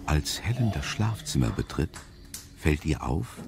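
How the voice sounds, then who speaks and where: A man narrating a scripted suspense story in a measured, ominous manner. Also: rumbling low, big pitch swings, vocal fry.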